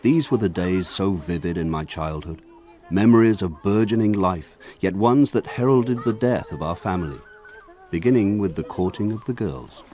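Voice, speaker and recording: An actor playing a grown man narrating his country childhood in a radio drama, in a measured, lyrical, reflective manner. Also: deep voice, mellow, natural voice. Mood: lyrical, wistful. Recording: faint insect buzz behind voice.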